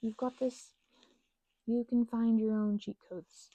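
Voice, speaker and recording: A woman in her thirties giving a casual, playful ASMR ramble with emphatic, slangy phrasing and a husky, breathy voice with vocal fry. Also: a little high, little pitch movement, takes it slowly, dark tone, no echo, lo-fi sound. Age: 30s. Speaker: ASMR channel host.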